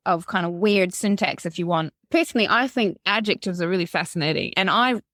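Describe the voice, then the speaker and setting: A woman who is a non-native speaker of English, talking as a linguist guest in a relaxed chat, with fillers and laughter. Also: on the low side, brisk, no echo, studio quality. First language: not English. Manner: chatty, laughing, lots of fillers. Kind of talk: casual chat.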